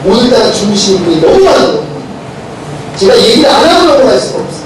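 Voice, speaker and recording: A male pastor with a cold-hoarse voice preaching a sermon and leading a prayer, getting loud and quizzing the congregation. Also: very high voice, very animated, quick pace. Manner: preaching loudly with rising intensity, asks the congregation questions. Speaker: senior pastor. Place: church sanctuary. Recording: very echoey church hall recorded from a distance with noise.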